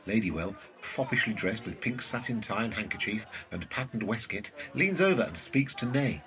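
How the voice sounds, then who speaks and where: A man narrates a drama, reading out scripted stage directions. His voice sits fairly low. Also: lively intonation, moderate pace, over music, low-quality recording.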